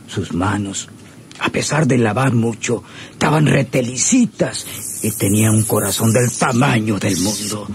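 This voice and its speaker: A man's voice: medium pitch, vocal fry. An actor playing a tender rural father who dotes on his daughter in a radio drama. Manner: slow, affectionate. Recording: noisy old radio serial recording.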